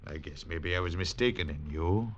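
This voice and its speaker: An actor playing a wary, gruff Boston tavern keeper, speaking curtly and low in a 1940s radio play. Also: male voice, moderate pace, dull audio. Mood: curt suspicious. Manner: curt, suspicious, low-spoken.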